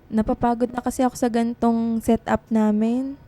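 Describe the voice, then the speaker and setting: A woman's voice: a little high, little pitch movement, normal speed, mellow, soft background music, natural voice. An actress playing a weary, heartbroken young writer and girlfriend in a Tagalog radio drama. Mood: dejected.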